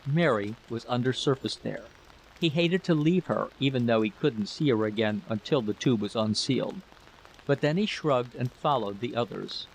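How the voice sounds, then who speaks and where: A man's voice: mid-range voice, normal speed, gravelly, rough recording. Male storyteller over faint rain-like noise. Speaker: narrator. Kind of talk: scripted story narration.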